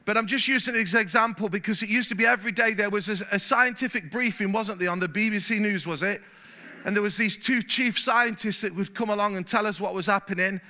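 High, bright-voiced English preacher man. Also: quick pace. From England. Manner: animated, gets louder when stirred, repeats words. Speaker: pastor. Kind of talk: sermon. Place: church hall.

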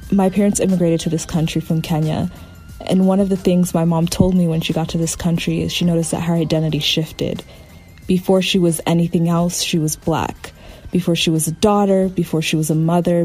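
A woman from Southwest Michigan reading a personal reflection in a thoughtful, steady way. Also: fairly low pitch. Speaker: contributor.

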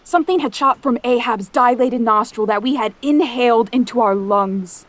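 A woman playing a haunted sailor who narrates a whaling voyage in a measured, literary way, in an audio drama. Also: fairly high pitch, natural voice. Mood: solemn, haunted. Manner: measured, solemn, literary storytelling.

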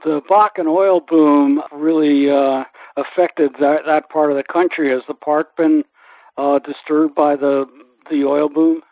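Man, radio interview host, slightly high voice, polite halting questions, very dry, phone-like bandwidth. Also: steady tone, no echo. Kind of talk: conversational interview. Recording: dry, narrow-band radio sound.

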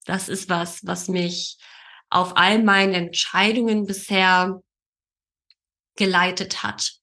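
Bright-voiced German podcast host, woman. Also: medium pitch, level tone, takes it slowly. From Berlin, Germany. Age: 30s. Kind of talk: casual conversational podcast talk.

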